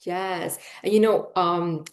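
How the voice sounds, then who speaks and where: Lower-voiced chatty woman host. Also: steady tone, slow. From Peru, raised in Miami. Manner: chatty, lots of fillers, frequent "right?" and "you know". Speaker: podcast host. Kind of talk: casual conversational interview.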